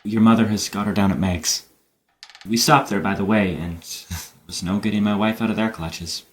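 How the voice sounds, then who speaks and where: A man acting in an audio play as a playful, boyish young gentleman with an animated, bantering manner. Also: on the low side, moderate pace, natural voice. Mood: excited, sheepish.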